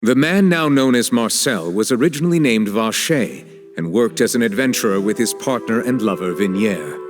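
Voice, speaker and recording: An actor playing a stern, composed judge in an anime game, speaking in a formal, authoritative manner. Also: male voice, on the low side, animated, moderate pace, close to an everyday voice. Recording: loud music behind.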